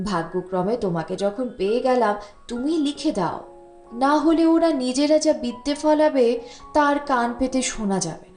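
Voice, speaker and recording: A woman's voice: sits high, lively intonation, normal speed, a little mellow. Woman voicing a breezy, teasing young man, echoey. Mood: breezy coaxing. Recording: echoey room, music bed underneath.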